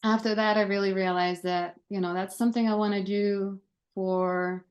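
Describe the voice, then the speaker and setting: A woman's voice: medium pitch, moderate pace. A woman in her thirties from Lithuania, a Lithuanian native speaker, telling her story in a casual interview over a video call. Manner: steady, many fillers and restarts. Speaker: guest, Army veteran. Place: home office.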